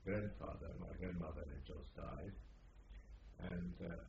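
Older hungarian-born guy, low voice, thoughtful and pausing a lot, echoey dull recording with background hiss. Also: vocal fry. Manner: measured, reflective, with frequent pauses and fillers. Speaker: interviewee. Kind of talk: oral history interview.

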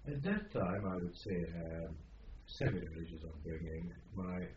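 An older man from Hungary giving an oral history interview, speaking in a measured, reflective way with frequent pauses. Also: sits low, animated, reverberant, dull audio, a little hiss or hum.

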